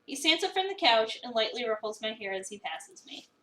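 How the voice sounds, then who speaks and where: A woman narrating a visual novel as an expressive first-person dramatic reading. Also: on the high side, a little fast. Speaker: narrator, streamer. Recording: roomy, echoey, distant mic.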